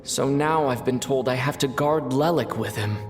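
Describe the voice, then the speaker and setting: A man's voice: mid-range voice, soft background music, natural voice. A man voicing a weary, reluctant guard. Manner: weary, sighing, slow.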